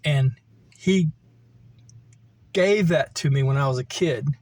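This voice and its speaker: A middle-aged American man reminiscing casually, in a halting, meandering way as he thinks aloud. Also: fairly high pitch, darkish tone, no room sound. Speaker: vlogger telling personal stories. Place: camper van.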